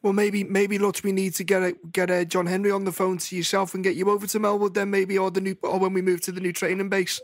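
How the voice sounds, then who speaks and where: A man presenting in a casual football podcast, brisk and friendly. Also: high-pitched, flat delivery.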